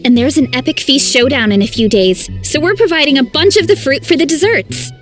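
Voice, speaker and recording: A woman's voice: high voice, expressive, fairly quick, soft background music. A woman voicing a cheerful, food-loving young farm woman in a video game, chatty and earnest. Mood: bright, fond. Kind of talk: English video game voice acting.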